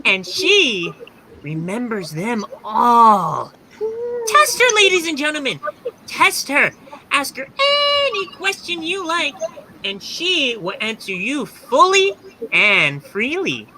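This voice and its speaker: Music hall announcer: 1930s radio play, declamatory and flustered, slow showy delivery, faint noise behind. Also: female voice, high voice, big pitch swings, pushed and bright, exaggerated voice. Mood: grand, showy. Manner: declamatory, grandly drawn-out. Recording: faint background noise, video-call audio.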